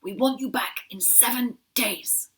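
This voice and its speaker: A woman voicing an elderly male rabbit leader, gruff and serious yet kind, giving earnest advice. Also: on the high side, measured pace, a bit bright, voice pushed up. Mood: grave. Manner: gruff, grave.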